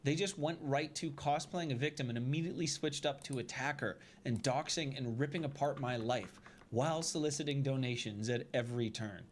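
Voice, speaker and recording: Faint noise sits behind a man of medium pitch making an aggrieved personal statement. He speaks emphatically and indignantly, dwelling on his grievances, in audio replayed from a video. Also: brisk, hardly any pauses.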